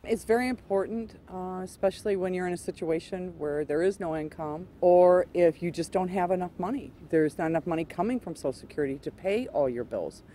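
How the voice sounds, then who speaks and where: A woman answers as a local resident in a news interview. Her voice is soft-edged and mellow, over faint ambience. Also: lowish voice, fairly quick, hardly any pauses, slight background noise. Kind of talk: interview soundbite.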